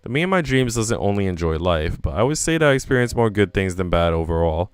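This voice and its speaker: A man reading as an anxious, animated young woman. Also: deep voice, quick pace, smooth, no room sound. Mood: reflective. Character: Nana, anxious young woman narrating her troubles. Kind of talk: visual novel first-person reading.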